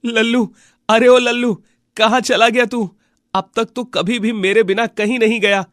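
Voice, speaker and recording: A poor, tender-hearted villager from a cartoon fable, acted by a man. He pleads plaintively in a very high male voice, stretching the words out slowly in a dry recording. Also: level tone, a bit bright, no echo. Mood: anxious, pleading. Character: poor, tender-hearted villager pining for his lost bull. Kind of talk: Hindi animated moral story dialogue.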